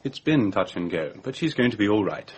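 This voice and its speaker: Radio drama medic: English, brusque and plain-spoken, rough husky voice, lively inflection. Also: male voice, on the low side, fairly quick, poor audio. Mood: matter-of-fact. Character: brusque, matter-of-fact English male doctor.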